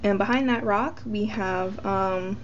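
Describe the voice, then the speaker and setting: Slow, rambling young woman with lots of ums. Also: mid-range voice. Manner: rambling, many ums, self-deprecating. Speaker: model horse collector giving a tour. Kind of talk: casual filmed tour narration. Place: indoors at a tabletop toy barn. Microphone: handheld camera mic. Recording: dull lo-fi camera audio with faint hiss.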